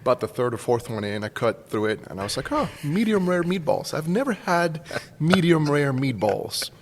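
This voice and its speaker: A man's voice: on the high side, big pitch swings, normal speed, long reverb tail, slight background noise. A man in his forties from Cuba, casually telling a personal story as a podcast guest. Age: 40s. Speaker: school staff member, podcast guest.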